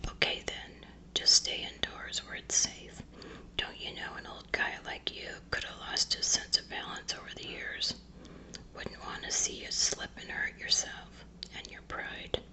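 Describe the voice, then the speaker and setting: A woman's voice: measured pace, sharp tone, low-quality recording. A woman reading a storybook in a whisper, voicing a folksy, teasing, matronly small-town postmaster. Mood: teasing, chatty.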